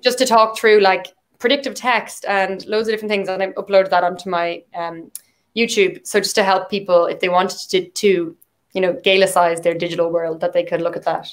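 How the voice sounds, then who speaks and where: A woman's voice: mid-range voice, fairly even intonation, moderate pace. Irish woman poet chatting away, lots of likes and ums, easygoing. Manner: chatty, with frequent "like" and "um" fillers. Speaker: poet and guest. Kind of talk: casual conversational interview.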